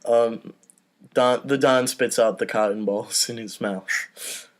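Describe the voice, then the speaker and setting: A man's voice: medium pitch, steady tone, slow pace. A man hosting a casual comedy table read, reading stage directions and giving informal, self-deprecating asides.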